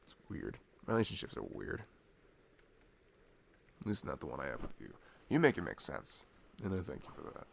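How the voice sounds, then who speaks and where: A man's voice: a bass voice, very animated, moderate pace, vocal fry, long pauses, a little hiss or hum. A man in an intimate ASMR boyfriend chat, rambling in a slangy, jokey way. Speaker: ASMR boyfriend roleplay performer.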